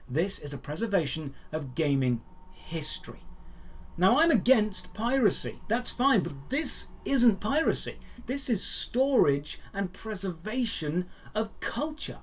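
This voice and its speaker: A man with a fairly high-set voice presents gaming news as a host. He delivers scripted commentary mixed with personal opinion. His phrasing is emphatic and measured, with key words stressed deliberately.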